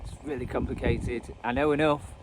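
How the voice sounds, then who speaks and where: A man talks casually as a hobbyist video host, in a rambling, self-deprecating way. His voice sits at a middling pitch. The recording is outdoors, with clear background noise behind him. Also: steady tone, normal speed. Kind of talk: casual unscripted commentary. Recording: noisy outdoor recording, radio static and traffic.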